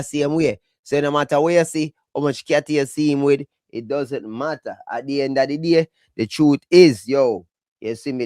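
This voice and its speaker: A man with a Jamaican accent giving casual, emphatic commentary on dancehall news in lively patois. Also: mid-range voice, no echo, clean studio sound. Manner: emphatic, animated patois talk.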